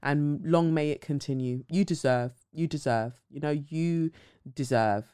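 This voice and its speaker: A woman's voice: low-pitched, unhurried, warm tone, clear, resonant tone. A woman hosting a podcast, talking bluntly and casually, swearing freely, with dramatic asides. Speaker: podcast host. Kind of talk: casual commentary monologue.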